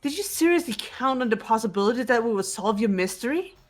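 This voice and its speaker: A woman's voice: on the high side, animated, quick pace. A woman voicing a haughty, gloating young female mastermind in a fan-dubbed video game, grandiose and mocking. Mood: taunting.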